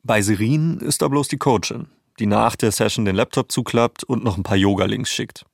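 A man's voice: on the low side, moderate pace, creaky, dry recording, clean studio sound. A man co-hosting a narrative podcast, reading scripted storytelling in a conversational, explanatory tone.

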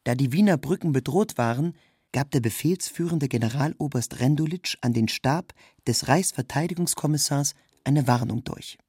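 A man's voice: medium pitch, moderate pace, darkish tone, slightly rough, dry recording, clean studio sound. A man reading a scripted literary narration in a sober, factual manner.

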